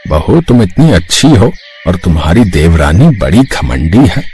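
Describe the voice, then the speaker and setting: Old rural uncle for a cartoon, voiced by a man, low and slow, offended, grumbling. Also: big pitch swings, darkish tone, husky, heavily acted. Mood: hurt, complaining. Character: offended old village uncle, gently complaining.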